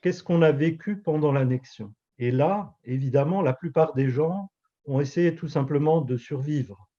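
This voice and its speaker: A man in his fifties from Alsace whose first language is Alsatian, a pastor and author answering interview questions in a measured, reflective way with frequent hesitations. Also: a little high, warm tone, dull audio. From Alsace, France. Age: 50s.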